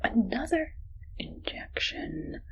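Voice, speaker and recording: A breathy, whispering evil scientist, acted by a woman for an ASMR medical roleplay. She is soft and slow, with a fairly high feminine voice. Her attentive, friendly manner has a sweetly sinister edge. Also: expressive, lo-fi sound. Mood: calm, clinical. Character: friendly but evil female scientist examining a captive subject.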